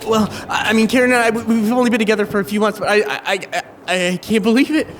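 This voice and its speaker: A man's voice: high voice, fairly even intonation, fast talker, faint music behind, close to an everyday voice. An actor playing a desperate, panicky young man who stammers and rambles in a horror audio drama. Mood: panicked. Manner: stammering, frantic.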